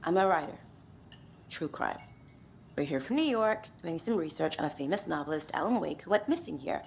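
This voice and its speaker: A woman's voice: deep voice, expressive, brisk, vocal fry, slight background noise. An actress playing a sardonic, defensive female true-crime writer.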